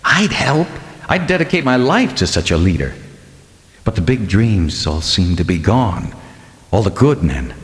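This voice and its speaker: A man's voice: fairly low pitch, big pitch swings, unhurried, long reverb tail. A man voicing a weary, embittered male wanderer, an indignant and impassioned idealist, in an audiobook. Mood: impassioned, despairing.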